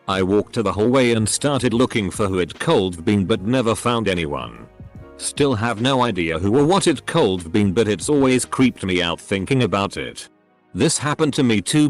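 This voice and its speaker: A man reading short online stories aloud as scripted narration, one after another in an even storytelling delivery. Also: fairly low pitch.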